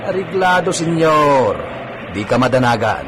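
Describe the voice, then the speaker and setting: A man's voice: a little high, lively intonation, unhurried, noisy background. A man playing a cheerfully deferential, folksy servant in an Ilocano radio comedy-drama, colloquial and reassuring. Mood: eager, reassuring.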